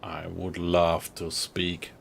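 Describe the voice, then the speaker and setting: Middle-aged german guy chatting with his stream, deep and slow, faint hiss behind. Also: completely flat delivery, gravelly. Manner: thoughtful, friendly, halting with fillers. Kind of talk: casual live-stream chat with viewers.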